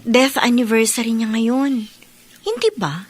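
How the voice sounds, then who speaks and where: A woman playing a caring, persistent younger sister in a radio drama, earnest and gentle. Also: on the high side, measured pace, breathy edge. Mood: reproachful, sad.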